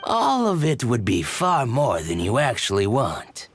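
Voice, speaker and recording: An actor voicing a secretive male trader in a game, speaking slowly and conspiratorially. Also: medium pitch, huge pitch swings, slight background noise. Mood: sly. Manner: slow, conspiratorial, hushed asides. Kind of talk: game voice acting.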